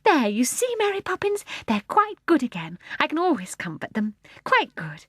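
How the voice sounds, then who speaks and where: A woman's voice: high-pitched, sing-song, moderate pace, no room sound, clean studio sound, voice pushed up. A woman voicing a proud, doting mother who coos in a sing-song way.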